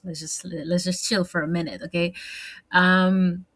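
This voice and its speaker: A woman's voice: lowish voice, level tone, unhurried, a bit bright. A young woman with a French accent, talking candidly in a personal vlog monologue, rambling and repeating words, with lots of fillers and now and then a slip into French. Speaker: vlogger.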